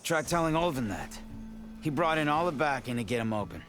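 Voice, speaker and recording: A man's voice: a little high, lively intonation, fairly quick, music in the background, natural voice. An actor playing a weary, injured mine worker in a video game, blunt and colloquial, grumbling as he talks. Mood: wry, resentful. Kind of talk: scripted video game dialogue.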